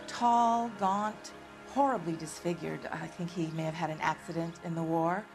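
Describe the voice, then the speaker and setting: A woman's voice: on the low side, fairly even intonation, normal speed, vocal fry, music in the background. An actress playing an uneasy, respectable married woman giving a witness account in a video game cutscene.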